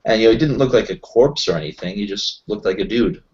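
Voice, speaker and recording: A man improvising in a tabletop roleplaying session, talking haltingly and often searching for his words. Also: on the low side, animated, darkish tone, a little hiss or hum. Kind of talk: improvised in-character roleplay chat. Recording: dull lo-fi voice recording.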